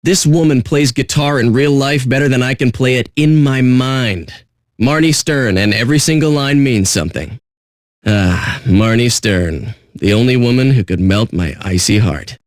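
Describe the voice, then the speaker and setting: An actor playing a surly, sarcastic radio DJ in a video game, with deadpan, contemptuous patter. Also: male voice, medium pitch, normal speed, dry recording, studio quality, natural voice. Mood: deadpan, smug.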